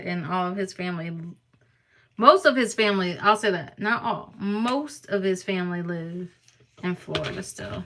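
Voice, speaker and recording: A bright, clear-voiced woman, talking loosely with her viewers and teasing them in a goofy, playful way. She sits close to her phone or webcam and laughs easily. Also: on the low side. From New York (Harlem). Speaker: vlogger chatting with live viewers. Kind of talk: casual live-stream chat.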